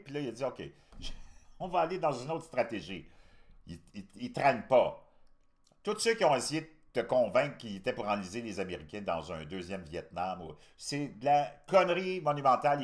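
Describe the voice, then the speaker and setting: A man from Canada with a Québécois accent gives casual, opinionated political commentary as a host, speaking colloquially and emphatically. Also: mid-range voice. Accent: Québécois French.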